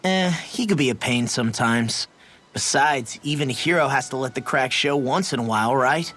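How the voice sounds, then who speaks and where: A man's voice: medium pitch, moderate pace, fairly bright tone, close to an everyday voice. A man voicing a brash, hot-headed young fighter in a game, with a blunt, cocky, informal way of talking. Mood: warm, encouraging. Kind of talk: dubbed anime-style game voice acting. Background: background music.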